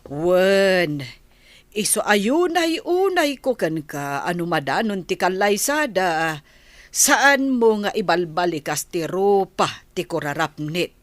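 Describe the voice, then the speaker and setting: A caring old grandmother, unhurried and patient in her speech. Her pitch swings expressively in the manner of Ilocano radio drama. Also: sing-song, dry recording. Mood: coaxing, firm.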